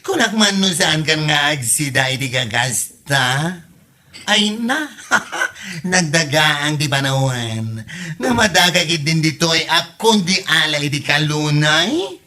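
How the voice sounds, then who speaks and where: An actor voicing a loud, nosy, flamboyant gossiping neighbour in an Ilocano comedy drama, with an Ilocano accent and theatrical, taunting exclamations. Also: medium pitch, big pitch swings, takes it slowly, heavily acted. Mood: mock-dramatic.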